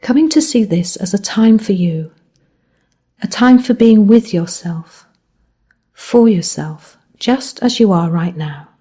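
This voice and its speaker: A woman guiding a body scan meditation as a mindfulness teacher, speaking gently in measured phrases that end softly. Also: mid-range voice, animated, breathy edge.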